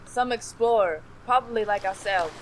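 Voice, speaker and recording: A woman playing a dutiful, alert sailor in a seafaring audio drama, with brisk nautical delivery. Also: medium pitch, a little hiss or hum, close to an everyday voice, low-quality recording. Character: dutiful, alert ship's lookout sailor calling to the captain.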